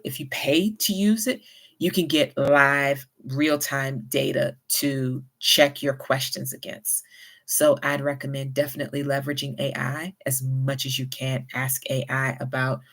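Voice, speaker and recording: A woman with a light Southern US accent, talking at an unhurried pace and giving friendly, encouraging advice to her viewers. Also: very deep voice, fairly bright tone. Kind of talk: casual advice vlog.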